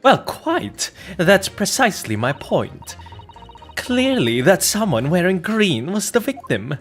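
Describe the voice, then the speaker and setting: A man's voice: sits high, sing-song, slow, fairly bright tone, faint music behind, pitched above the performer's own voice, heavily acted. A man voicing a haughty, prejudiced upper-class woman juror in a courtroom game, with an affected, condescending manner. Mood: haughty, indignant.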